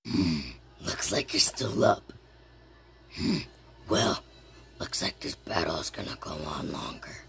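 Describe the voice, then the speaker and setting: A performer voicing a blue bird dragon, a menacing battle creature that taunts its enemies in a gravelly, breathy voice. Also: low voice, slow, long pauses, fairly bright tone, pitched below the performer's own voice, exaggerated voice. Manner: taunting, dramatic, laughing between threats. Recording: noisy homemade recording.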